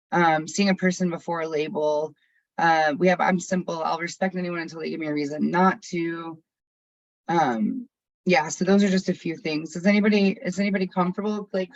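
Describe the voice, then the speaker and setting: A person of about forty from Texas, whose first language was Arabic, leading a workshop chat on a video call in an open, chatty way with quick asides. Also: medium pitch, dry recording. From Texas, USA. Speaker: workshop facilitator. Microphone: computer mic on a video call. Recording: dull, dry video call audio.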